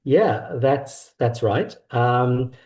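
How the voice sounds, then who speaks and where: South african guy, middle aged author in an interview, soft mellow tone, medium pitch, kinda hesitant. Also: drawn-out words. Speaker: author guest. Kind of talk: conversational interview about own work.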